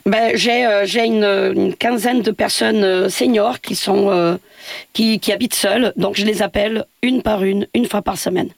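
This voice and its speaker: A woman's voice: medium pitch, fairly even intonation, moderate pace, vocal fry, no echo. A woman from Portugal, a building caretaker speaking as a radio interview guest, hesitant with many fillers and restarts.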